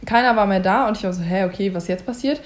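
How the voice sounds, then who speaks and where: A young woman in a casual chat, telling a story in a lively way and laughing often. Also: medium pitch, fast talker.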